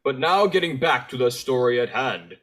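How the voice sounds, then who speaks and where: A man playing Santa Claus as a theatrical storybook narrator, speaking in rhyme with a husky voice. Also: mid-range voice, animated, normal speed, a bit bright. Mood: storybook, grave.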